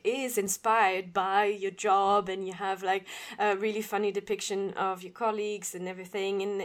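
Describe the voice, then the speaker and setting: A woman from Belgium hosting an interview, talking in a supportive, chatty way with fillers. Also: medium pitch. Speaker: interviewer, podcast host. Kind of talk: casual interview chat.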